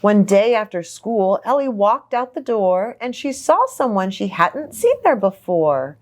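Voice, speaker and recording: A woman reading a children's storybook aloud, expressive and sympathetic, with simple asides to young listeners. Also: mid-range voice, unhurried.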